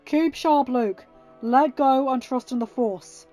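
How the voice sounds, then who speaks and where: A woman's voice: high voice, slow pace, faint music behind, voice pushed up. A woman voicing an urgent, commanding male pilot and father who gives orders, in a fan fiction reading. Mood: firm, instructing. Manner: instructing, shouted orders over battle.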